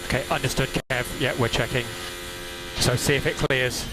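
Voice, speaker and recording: A man speaks as a motorsport race engineer over team radio, with heavy engine noise all around. His pitch is medium, and his calls are clipped and procedural. He repeats the important instructions. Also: flat delivery, moderate pace. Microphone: radio headset. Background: loud engine and track noise.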